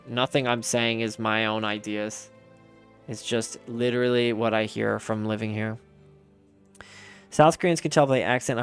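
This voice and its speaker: A man's voice: mid-range voice, smooth. A man streaming a game and chatting casually with his viewers, answering their messages aloud in a rambling way with frequent restarts.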